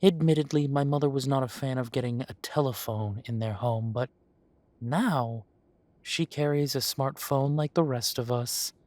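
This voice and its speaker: A man playing a genial, long-lived elven café owner who reminisces in an unhurried, chatty way, in a roleplay audio drama. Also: mid-range voice, darkish tone, no room sound, close to an everyday voice. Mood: genial, reminiscing. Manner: unhurried, musing, conversational with pauses.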